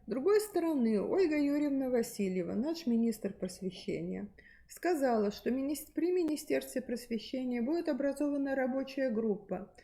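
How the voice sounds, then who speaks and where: Mellow-voiced woman presenting textbooks. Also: high voice, expressive, normal speed. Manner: hesitant with many fillers and restarts, explanatory. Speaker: presenter at a teachers' methods meeting.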